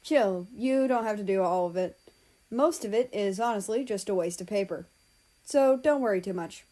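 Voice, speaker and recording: A woman's voice: medium pitch, moderate pace, dark tone, lightly acted. A woman voicing an easygoing, reassuring man, a supportive friend, in a story reading.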